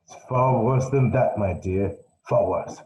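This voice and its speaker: A man voicing a worried older police commissioner with a gentle, fretful manner, in a roomy echoey room. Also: fairly low pitch, unhurried, lo-fi sound.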